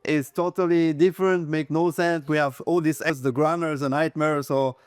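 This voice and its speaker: A man's voice: a little high, fairly even intonation, normal speed, clear, resonant tone, no echo. A man from French-speaking Switzerland, a native French speaker with a Swiss French accent, chatting casually as an interview guest. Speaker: interview guest, real estate agent.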